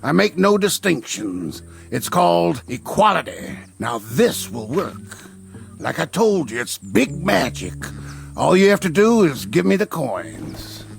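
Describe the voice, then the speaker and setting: A man's voice: fairly high pitch, very animated, unhurried, creaky, faint music behind, heavily acted. An actor playing a smug, mercenary swindler in a television drama, with a slippery salesman's patter. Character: sly, mercenary male rope seller and swindler.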